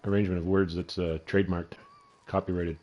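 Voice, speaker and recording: Deep-voiced Canadian man, rambling. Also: flat delivery, fairly quick, darkish tone, a little hiss or hum. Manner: rambling and profane. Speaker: gaming video host. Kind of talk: casual gameplay commentary.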